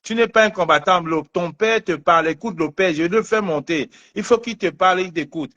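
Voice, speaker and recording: A man hosting a live stream, urgently pleading and repeating himself to calm a caller. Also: high-pitched, fairly quick, dull audio. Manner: urgent, insistent, repeats names.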